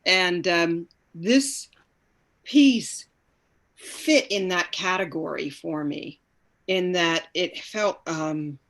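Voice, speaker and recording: Slow-talking woman with a lowish voice. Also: fairly bright tone. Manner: effusive, emphatic, searching for words. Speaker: stage actor, panel guest. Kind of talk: reflective, informal panel talk.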